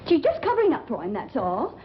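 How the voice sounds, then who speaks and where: A woman's voice: sits high, wildly swooping pitch, a little fast, a little hiss or hum. An actress playing a fretful, strict and easily offended mother in 1940s film style, on a muffled old soundtrack. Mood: indignant. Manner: indignant, fussy.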